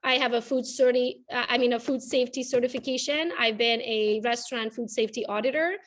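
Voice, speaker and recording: Romanian-accented woman, bright and chatty. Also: a little high, fairly even intonation, a little fast. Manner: chatty, laughs often, tags lines with "right?". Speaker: guest, realtor and coach. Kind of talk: casual interview chat.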